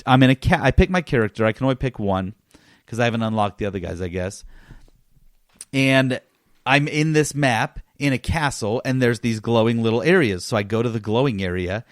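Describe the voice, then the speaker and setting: A man chats casually as the co-host of a gaming podcast. He tells his story in an animated way, repeating points for emphasis, at a middling pitch. The sound is dry, with very little room in it. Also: a little fast, no room sound. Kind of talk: casual chat, game review.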